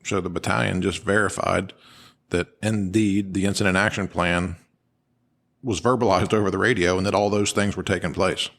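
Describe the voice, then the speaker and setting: A man's voice: low-pitched, fairly even intonation, moderate pace, gravelly, a bit bright. A man co-hosting a podcast, explaining and analyzing things in an informal, conversational way. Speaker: podcast co-host. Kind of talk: conversational analysis of firefighter radio traffic.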